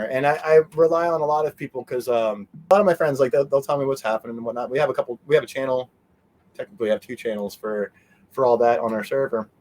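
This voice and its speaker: A man being interviewed, chatting informally and haltingly, often breaking off his own sentences. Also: medium pitch, darkish tone. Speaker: interview guest. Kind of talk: casual conversational interview chat.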